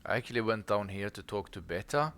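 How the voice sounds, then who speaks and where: A man's voice: on the low side, animated, fairly quick, fairly bright tone. A man giving casual let's play commentary in a musing, conversational way. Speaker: gameplay commentator.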